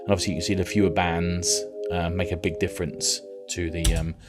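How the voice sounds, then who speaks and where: A man explaining music software as a tutor, in an informal, rambling, conversational way. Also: low-pitched, level tone, brisk. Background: synth and vocoder music.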